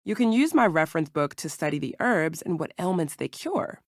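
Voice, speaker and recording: A woman playing a careful herbal shopkeeper in a video game, instructive and gentle. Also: sits low, very animated, brisk, no echo, clean studio sound. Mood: welcoming, measured. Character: calm female herbal shopkeeper, patient, careful mentor.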